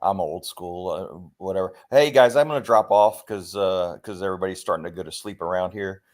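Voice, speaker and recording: A man's voice: low voice, fairly quick. A man in his forties or fifties, a guest in a casual online group chat, laughing often and joking about himself. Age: 40s or 50s. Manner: laughing, self-deprecating.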